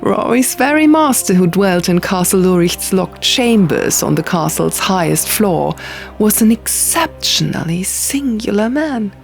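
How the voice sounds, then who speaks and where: A merry young king voiced by a woman spins tales in a storybook reading, casual and a little tipsy. The delivery is slow and slightly gravelly, with soft music underneath. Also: medium pitch, expressive. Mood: amused storytelling.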